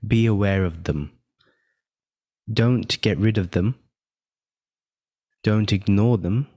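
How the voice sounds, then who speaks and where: A man leading a guided meditation, giving gentle step-by-step instructions with long pauses between phrases. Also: on the low side, dry recording, studio quality. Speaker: meditation guide and podcast host.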